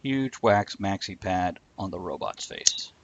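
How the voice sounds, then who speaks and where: A man's voice: low-pitched, expressive, measured pace. A man hosting a livestream with casual, wry, joking banter and informal step-by-step explanations. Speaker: livestream show host. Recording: dull lo-fi livestream audio.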